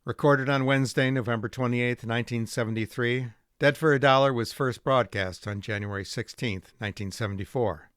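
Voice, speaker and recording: A man hosting a radio drama rebroadcast series, giving a measured, polished scripted introduction. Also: mid-range voice, a bit bright, clean tone, no echo, studio quality. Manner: measured, polished presenter delivery. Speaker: host. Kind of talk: scripted narration.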